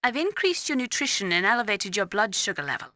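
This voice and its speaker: A woman's voice: mid-range voice, sing-song, fast talker, pushed and bright, no echo, studio quality. A woman playing a poised, clinical female scientist advising a soldier in a video game, speaking in a precise, explanatory way. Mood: clinical, measured. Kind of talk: video game radio briefing acting.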